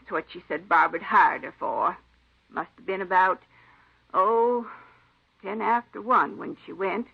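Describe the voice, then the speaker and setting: An aggrieved, fussy older woman in a vintage radio drama. She grumbles in a folksy, indignant manner at an average pitch. The old recording is dull and muffled. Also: unhurried, creaky, breathy edge. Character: fussy, aggrieved aunt robbed by a cleaning woman.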